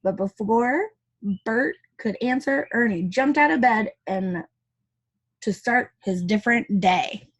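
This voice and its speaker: A woman reading a picture book aloud to young children as their teacher, with chatty asides and expressive dialogue. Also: a little high, slow, breathy edge, low-quality recording.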